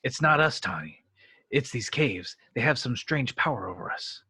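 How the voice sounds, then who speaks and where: A man playing an earnest actor famed as a heroic sci-fi captain in an amateur table read, halting and sheepish at times. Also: mid-range voice, lively intonation, pushed and bright, slightly rough, dry recording, exaggerated voice. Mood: melodramatic, urgent.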